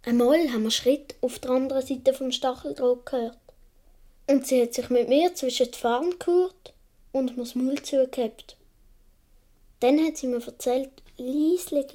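A woman narrating a radio play as a dreamy young boy, with a Swiss German accent and wistful, unhurried storytelling. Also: sits high, mellow. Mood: wistful, fond. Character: dreamy young Swiss boy recalling his earliest childhood.

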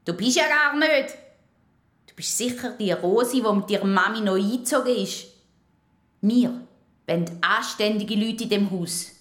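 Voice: mid-range voice, unhurried, pauses often, fairly bright tone, reverberant, natural voice. A performer playing a grumpy, strict male caretaker who scolds children, with a Swiss accent, in a children's radio play. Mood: gruff.

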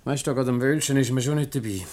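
A mid-pitched male voice with a Swiss German accent, halting and stammering. An actor plays a henpecked, timid husband in a radio comedy who protests without much force. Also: darkish tone, natural voice. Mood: flustered, hesitant. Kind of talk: radio comedy acting in dialect.

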